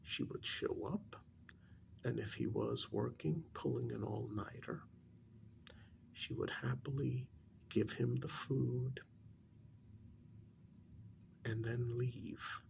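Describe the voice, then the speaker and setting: A man playing a calm, probing psychiatrist in an ASMR role-play, speaking softly and breathily with measured pauses. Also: mid-range voice, slight background noise. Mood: confiding, measured. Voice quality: breathy, half-whispered soft speech. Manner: slow, deliberate, measured with pauses. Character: calm, probing male psychiatrist counseling a supernatural couple.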